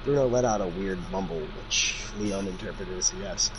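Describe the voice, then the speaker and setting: A man's voice: deep voice, normal speed. A young man reading fan fiction aloud casually and comically, breaking off into sweary asides. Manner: jokey, easily sidetracked, swears. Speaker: amateur fan fiction reader. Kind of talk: casual comedic read-aloud with joking asides. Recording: noisy amateur recording with room ambience.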